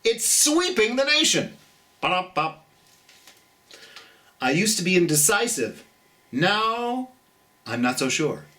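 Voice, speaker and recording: An American storytime host reading a picture book to children and chatting in a gentle, theatrical way. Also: mid-range voice, very animated, takes it slowly, long pauses, distant mic.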